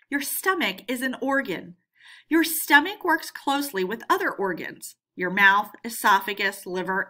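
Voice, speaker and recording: Female educator, fairly high and crisp-bright, expressive read-aloud of scripted lesson for children. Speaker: teacher reading a lesson aloud.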